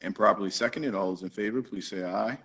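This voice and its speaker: A man in his forties chairing a city council meeting over a video call, calling votes in brisk, formulaic phrases. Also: fairly low pitch, a bit bright, breathy edge. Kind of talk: formal meeting procedure. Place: office with flags behind, on a video call.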